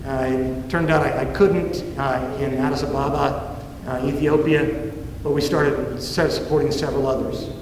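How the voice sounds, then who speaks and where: A man's voice: mid-range voice, steady tone, distant mic, slight background noise. Earnest man preaching in a reverberant hall. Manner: earnest, preacherly, slowing for emphasis. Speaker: ministry president giving a talk. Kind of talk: formal apologetics talk.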